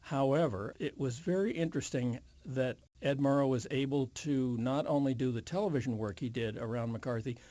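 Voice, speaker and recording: An older man answers interview questions reflectively. His voice is warm and mid-pitched, and he picks his words with care in a very dry recording. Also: no room sound. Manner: measured, careful word choice. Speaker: interview guest.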